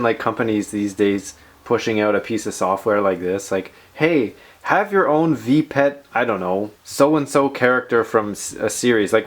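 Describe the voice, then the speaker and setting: A man hosting a tech video, chatting informally and rambling through a software demo with playful asides. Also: mid-range voice. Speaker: tech channel host. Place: indoors at a desk in a room.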